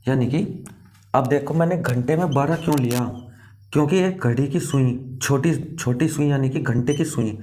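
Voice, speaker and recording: Male tutor, relaxed dark timbre, instructive, repeats key phrases and checks that the learner follows. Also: medium pitch. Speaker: teacher. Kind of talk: step-by-step exam lesson.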